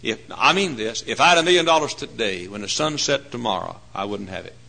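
A man in his forties preaching a folksy, humorous sermon, swinging between hushed asides and loud emphatic points. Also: mid-range voice, animated, a little fast, gravelly, a little hiss or hum. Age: 40s. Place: church.

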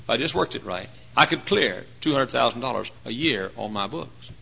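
A man in his forties preaching a folksy, humorous sermon, swinging between hushed asides and loud emphatic points. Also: mid-range voice, lively intonation, normal speed, creaky, slight background noise, low-quality recording. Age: 40s. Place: church.

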